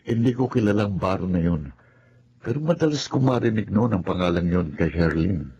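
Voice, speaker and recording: An actor playing a puzzled, apologetic young friend in a Tagalog radio drama. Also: male voice, low-pitched, lively intonation, brisk, mellow, lo-fi sound, a little hiss or hum.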